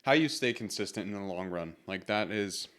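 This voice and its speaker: A man's voice: on the low side, lively intonation, moderate pace, a bit bright. A man hosting his solo motivational podcast in a casual, rambling way, his voice congested from a cold. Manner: casual, rambling with fillers and restarts. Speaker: podcast host.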